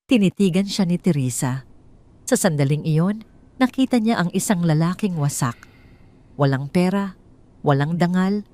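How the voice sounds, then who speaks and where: A woman's voice: lowish voice, darkish tone. A woman with a Filipino accent narrating a scripted dramatic story.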